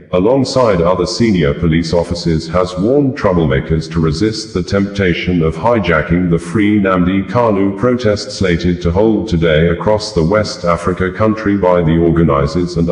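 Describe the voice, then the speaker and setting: A man reads scripted news in a formal, measured manner. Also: sits low, a little mellow, roomy sound. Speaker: news reader.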